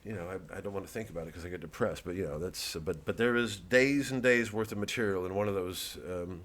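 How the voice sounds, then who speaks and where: A man's voice: low-pitched, quick pace, a bit bright. An American man, a comedian, talking casually to his fans in a rambling, digressive monologue with some swearing.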